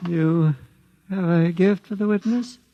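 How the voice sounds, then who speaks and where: John the Witness, a solemn old legend-keeper. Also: male voice, high-pitched, lo-fi sound. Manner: slow, solemn, ritual storytelling. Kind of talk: 1950s radio drama acting. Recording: 1950s radio broadcast with faint music.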